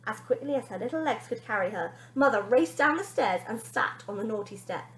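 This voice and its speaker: A woman teacher reading a children's story aloud in an expressive style. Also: fairly high pitch, fairly quick, roomy sound, poor audio.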